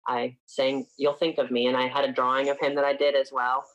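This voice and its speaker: A man's voice: medium pitch, flat delivery, a little fast, fairly bright tone, highs cut off. A man in his twenties from upstate New York, a singer chatting casually as an interview guest and filling his talk with frequent "like"s.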